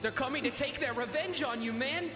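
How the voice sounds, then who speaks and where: A man voicing an excitable, goofy, dramatic cartoon animal in an improvised comedic fan dub. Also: extremely high pitch, a little fast, music in the background. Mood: excited, gleeful.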